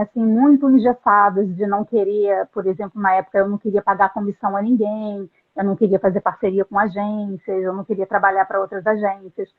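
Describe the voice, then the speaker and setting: A middle-aged woman, a translator, telling her story in an interview, earnest and halting with frequent restarts. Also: medium pitch. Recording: tinny phone-like line.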